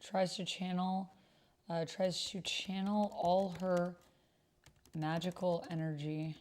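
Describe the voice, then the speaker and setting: Female streamer, lowish voice, casual and unscripted, thinking out loud while playing a text-based roleplay game. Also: flat delivery, takes it slowly. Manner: thinks aloud while reading and typing. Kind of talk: casual livestream chat while reading out typed roleplay. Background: computer keyboard typing.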